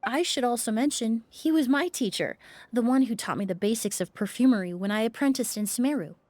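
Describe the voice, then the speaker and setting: An actress playing a refined young woman perfumer in a video game, polite, measured and composed. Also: on the high side, darkish tone, a little hiss or hum, lightly acted. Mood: informative.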